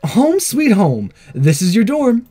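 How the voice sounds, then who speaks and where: Visual novel schoolgirl, played by a man, girlish and perky, high animated voice, breezy and forward. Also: takes it slowly, breathy edge, faint music behind, exaggerated voice. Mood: friendly, upbeat. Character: perky, forward, sporty anime schoolgirl, teenage.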